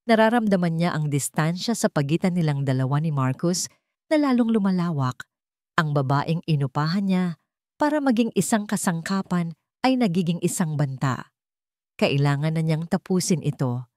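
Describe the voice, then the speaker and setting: A woman's voice: lowish voice, expressive, moderate pace, darkish tone, dry recording, studio quality. A woman storyteller giving dramatic scripted narration of a romantic story.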